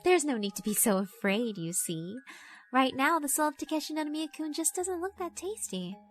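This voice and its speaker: A woman's voice: high voice, big pitch swings, normal speed, faint music behind, voice pushed up. A woman voicing a mischievous, predatory girl spirit in a horror visual novel, teasing and politely condescending. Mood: calm menace. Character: mischievous, predatory yokai schoolgirl, doll-like, elegant.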